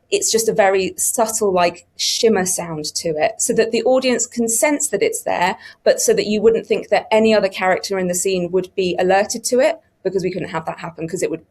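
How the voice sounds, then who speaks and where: A woman's voice: medium pitch, darkish tone. A woman explaining production work as a producer in an interview. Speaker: audio producer on a panel.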